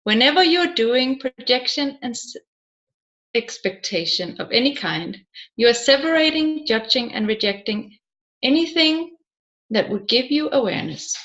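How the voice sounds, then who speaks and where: A woman with a fairly high voice narrates an audiobook from a script. She reads deliberately and in measured fashion, setting out the text in short, separate phrases. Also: animated, fairly bright tone, lo-fi sound.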